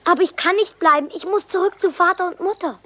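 An actor voicing an earnest, defiant little boy in German animated series dialogue. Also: extremely high pitch, quick pace.

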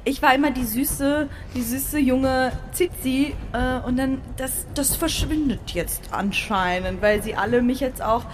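Young woman with a high voice, chatty and animated, lots of laughing, noticeable hiss behind her. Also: unhurried, few pauses. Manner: animated storytelling, laughs often, swears. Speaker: podcast co-host. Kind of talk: casual chat. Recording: clear background noise.

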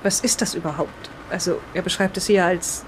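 A woman's voice: lowish voice, fairly even intonation, a little fast, close to an everyday voice. Woman playing a dry, grumbling island widow, noisy background. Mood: puzzled. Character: sharp-tongued island widow, exasperated with her husband. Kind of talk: improvised in-character retelling, audio drama.

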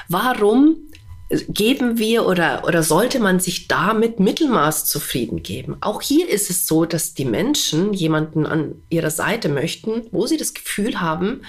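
Woman with a stuffy nose, slow unhurried pace, slightly low voice, earnest direct address, podcast host. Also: slightly rough. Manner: earnest, addresses listener directly with rhetorical questions. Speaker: animal communicator. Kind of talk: spontaneous monologue to listener.

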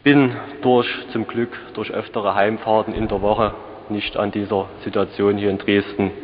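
A man in his twenties, a student, moderating a citizens' assembly with halting, hesitant speech. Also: lowish voice, level tone, a little hiss or hum. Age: 20s. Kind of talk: public address at a citizens' assembly. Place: large church hall. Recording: echoey church hall, dull 1989 tape.

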